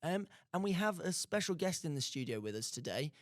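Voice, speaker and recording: A man's voice: a little high, very animated, brisk, no echo. A man hosting a live radio show from a studio. He jokes with his guest and rambles with lots of restarts and fillers. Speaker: radio host. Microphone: studio mic.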